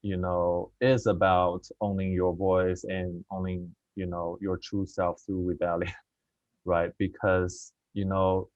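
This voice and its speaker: A low-pitched man speaks earnestly over a remote video call, pausing and restarting as he thinks. His voice sounds dry and close, with a slightly dull, muffled tone from the call audio. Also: little pitch movement, darkish tone, no echo. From China. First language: Mandarin. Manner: earnest, thoughtful, with many fillers and restarts. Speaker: film director, guest. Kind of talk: reflective interview answers. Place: at home in Beijing, remote call.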